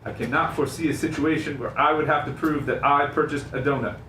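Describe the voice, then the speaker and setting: A man's voice: a little high, steady tone, quick pace, bright, forceful tone. A man on a radio show crew, talking casually in on-air banter. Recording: roomy, off-mic, faint background noise.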